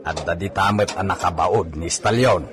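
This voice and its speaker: A man's voice: deep voice, moderate pace, fairly bright tone. A man playing a cheeky, boastful village horseman with an Ilocano accent in a comedy radio drama, chuckling and talking to himself. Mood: playful.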